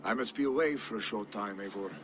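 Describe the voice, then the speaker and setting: An actor playing a proud, earnest son of a dead jarl in a game cutscene. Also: male voice, on the low side, fast talker, close to an everyday voice.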